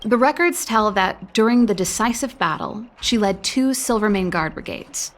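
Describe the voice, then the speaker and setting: A woman's voice: mid-range voice, expressive, moderate pace, natural voice. A woman playing a breezy, confident older-sister mechanic in a video game, chatty and teasing with a nostalgic streak. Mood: proud, storytelling.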